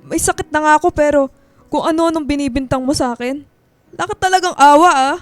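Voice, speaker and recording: A woman playing an ailing, defensive older mother in a Filipino radio drama, indignant, melodramatic and quick to guilt-trip. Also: sits high, dry recording, soft background music, natural voice. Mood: indignant, offended.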